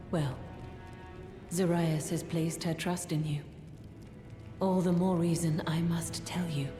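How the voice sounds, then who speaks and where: Secretive aristocratic lady, low pitch, slow stately delivery, formal, fantasy game, music behind. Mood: guarded, consoling. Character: poised, secretive noblewoman, steward of a heretical manor. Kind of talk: dark fantasy game dialogue. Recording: roomy hall reverb under game music.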